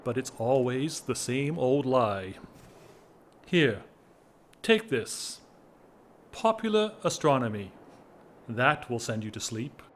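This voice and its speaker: A man acting a whimsical, restless gentleman in an audio drama, with theatrical, wordy, teasing formality. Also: mid-range voice, lively intonation, slow pace, pauses often. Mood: wry, bantering. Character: whimsical, restless English gentleman, an aristocrat in love.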